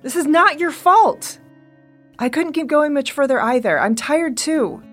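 A woman's voice: sits high, lively intonation, a little slow, soft background music. An actress playing a protective, earnest woman survivor who reassures her companion in an audio drama. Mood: consoling.

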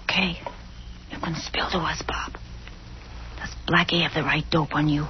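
An actor playing a ruthless, bossy gangster in a 1940s radio crime drama, on a muffled, noisy recording. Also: male voice, fairly high pitch, level tone, normal speed, close to an everyday voice. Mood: pressing. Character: ruthless bossy male gangster pressing his partner.

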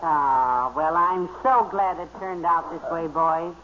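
A woman's voice: low-pitched, takes it slowly. A muffled vintage radio recording with faint noise features a motherly American housewife. She sounds sweet, homey and a little sly, in the style of 1940s radio comedy. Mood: sweet, confessing.

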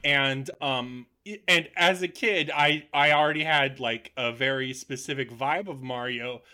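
A man's voice: medium pitch, a little slow, bright, forceful tone, clear, resonant tone. A man hosting a casual film discussion podcast in a chatty, informal way. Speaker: podcast host.

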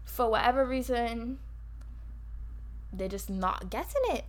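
Bajan-accented woman co-host, rapid and emphatic, a bit high-pitched. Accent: Barbadian. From Barbados. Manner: fast-talking, emphatic storytelling. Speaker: podcast co-host. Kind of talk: casual chat.

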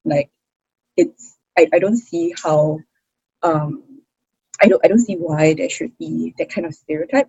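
A young woman from Singapore with a Singaporean English accent, chatting expressively as an interview guest, with frequent fillers and quick laughs. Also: low voice, warm tone, husky, no room sound, highs cut off. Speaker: interview guest, hawker's daughter. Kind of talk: casual interview conversation.

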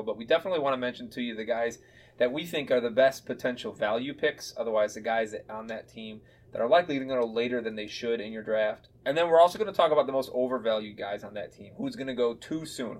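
A man from the Chicago area co-hosts a casual sports podcast. He is blunt and opinionated, and he drops jokes and the odd swear word. His voice sits at an ordinary middle pitch. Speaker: podcast co-host.

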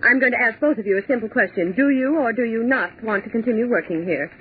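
A woman's voice: on the high side, quick pace, lightly acted. An actress playing a prim, stern woman office supervisor, formal, clipped and authoritative, in 1940s radio drama style. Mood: stern, reproving. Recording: tinny, noisy 1940s radio recording.

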